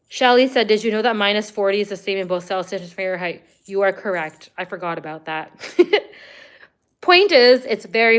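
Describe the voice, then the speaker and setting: Woman, livestream host, casual chat, smooth clear voice, chatty with "like" fillers. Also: fairly high pitch, a bit bright. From Canada. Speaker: livestream host answering viewer comments.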